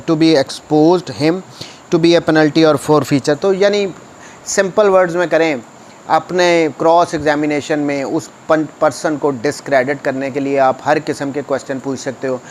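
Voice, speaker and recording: A man's voice: fairly high pitch, low-quality recording. A man with a Pakistani accent giving an explanatory law lecture, reading passages aloud and explaining them, switching between two languages and repeating his points. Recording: noticeable background noise.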